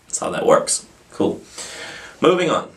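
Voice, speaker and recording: A man's voice: measured pace, a bit bright. A man hosting an informal video, answering questions off the cuff in a rambling, self-deprecating way. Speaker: host of a pagan question-and-answer video channel. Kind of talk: casual, unscripted answering of viewer questions.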